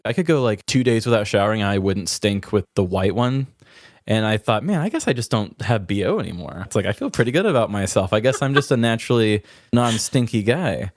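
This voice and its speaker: A man's voice: on the low side, lively intonation, fairly quick, no room sound, studio quality. A man co-hosting a podcast in casual comedic banter, telling rambling, self-deprecating stories. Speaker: podcast co-host.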